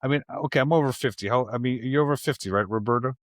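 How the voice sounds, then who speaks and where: A man's voice: mid-range voice, racing, dry recording, studio quality. A man in his fifties chatting on a podcast, laid-back and slangy, often breaking into laughter. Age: 50s. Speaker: podcast co-host. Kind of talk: casual chat.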